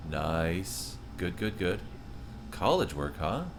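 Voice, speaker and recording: A man's voice: deep voice, very animated, slow, gravelly, background noise. A man in his thirties chatting casually with viewers on a live game stream and reading their messages aloud. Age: 30s. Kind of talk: casual live-stream chat while gaming.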